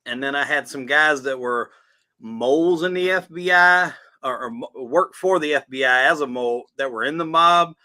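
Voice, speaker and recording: A man's voice: fairly high pitch, moderate pace, pushed and bright. A man from South Carolina with a Southern drawl, a podcast host talking as a guest in a loose, profane, casual interview.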